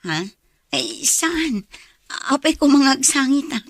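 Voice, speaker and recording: A woman's voice: high voice, lively intonation, takes it slowly, vocal fry, dry recording, exaggerated voice. A woman acting in an Ilocano radio drama as a middle-aged, long-suffering mother. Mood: weary, evasive. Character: long-suffering, battered mother, protective of her daughter.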